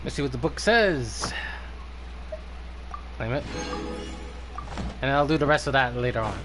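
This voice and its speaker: A man's voice: mid-range voice, animated, unhurried, music in the background. A man casually muttering and swearing to himself as he streams a video game.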